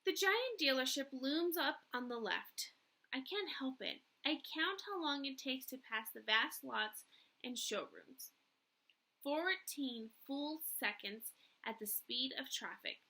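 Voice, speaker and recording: A woman reading a children's novel aloud like a teacher, with animated, dramatic emphasis and drawn-out words. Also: high-pitched. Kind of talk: expressive read-aloud of a first-person children's novel.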